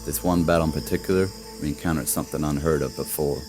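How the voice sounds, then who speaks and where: Very deep-voiced, war-weary old soldier acted by a man. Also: steady tone, quick pace. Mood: grim, haunted. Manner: folksy, plain-spoken storytelling with rough grammar. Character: gruff, war-weary old male soldier recounting eerie wartime encounters. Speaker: voice actor. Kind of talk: scripted narration, film monologue voice reel.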